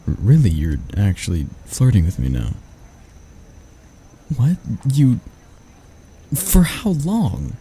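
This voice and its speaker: A man playing a grumpy but soft-hearted barista, flustered and flirty, stammering and teasing in a romantic ASMR roleplay. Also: medium pitch, wildly swooping pitch, takes it slowly, pauses often, husky, full character voice. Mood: flustered disbelief.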